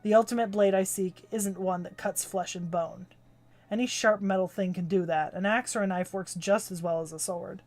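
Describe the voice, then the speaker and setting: A woman voicing a gruff, proud older male swordsmith with a growling voice and curt, commanding delivery, reading a game's story aloud on stream. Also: medium pitch, fairly even intonation, moderate pace, a little mellow, close to an everyday voice. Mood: stern.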